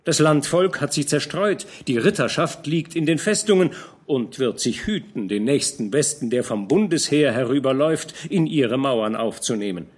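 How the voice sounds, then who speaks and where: Hot-tempered young nobleman read by a man, earnest, indignant, raised voice, animated pitch. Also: moderate pace, lightly acted.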